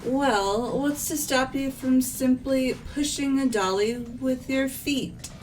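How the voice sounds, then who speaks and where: A woman's voice: sits high, slow pace, a little mellow, faint music behind, rough recording. A woman voicing a brash, stubborn young student with a blunt, casual way of talking in a fan-dubbed game. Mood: stubborn, puzzled. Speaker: amateur voice actor in a group playthrough. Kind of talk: fan dub of a visual novel game.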